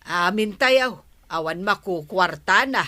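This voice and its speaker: A woman's voice: mid-range voice, expressive, slow, sharp tone. A woman playing a nagging, exasperated wife in a comic radio drama, scolding with an Ilocano accent. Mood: nagging, weary.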